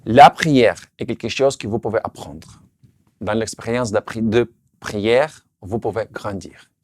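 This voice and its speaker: A man who speaks French, his language, giving an earnest, measured sermon. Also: lowish voice, darkish tone, no room sound, studio quality. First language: Russian. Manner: earnest, measured, slight restarts on words. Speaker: preacher.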